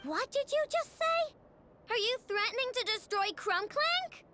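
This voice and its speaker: A headstrong young girl inventor. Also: unhurried. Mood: defiant. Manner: high, lively, headstrong. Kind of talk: video game voice acting. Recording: game audio over music.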